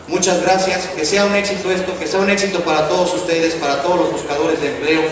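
A young man from Mexico giving an earnest, formal speech at a podium. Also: on the high side. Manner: earnest, thanking officials, measured oratory. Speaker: youth office official giving a speech. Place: large indoor exhibition tent with audience. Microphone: podium microphone, recorded from far in the crowd. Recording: echoey hall from far off, noisy.

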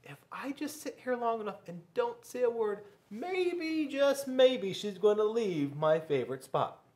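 A man's voice: high-pitched, big pitch swings, unhurried, darkish tone, pitched above the performer's own voice. A man voicing an anxious, timid young schoolboy in a storybook, with a hesitant, plaintive manner. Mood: hopeful, tense.

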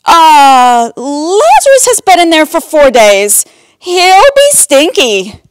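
A woman's voice: high-pitched, sing-song, unhurried, no room sound, clean studio sound, voice pushed up. A woman voicing an earnest, practical, emotional sister in a storybook Bible tale. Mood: squeamish.

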